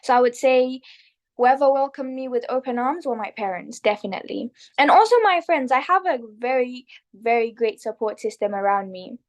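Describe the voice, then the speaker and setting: A woman's voice: on the high side, expressive, moderate pace. A young woman with a Kenyan accent, talking earnestly as a guest about founding her nonprofit, with frequent restarts. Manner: earnest and self-deprecating, with restarts. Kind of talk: casual interview talk.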